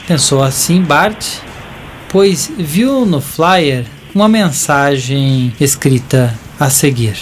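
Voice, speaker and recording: A Brazilian man narrates an audio drama with theatrical, drawn-out phrasing. His voice sits a little high for a man, and music plays behind him.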